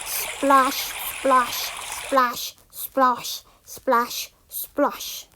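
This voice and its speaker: A woman's voice: high-pitched, little pitch movement, pushed and bright. A woman reading a children's picture book aloud in a theatrical, sing-song manner, like a storytelling teacher. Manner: theatrical, slow and deliberate with sing-song repeated phrases. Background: background noise and sound effects.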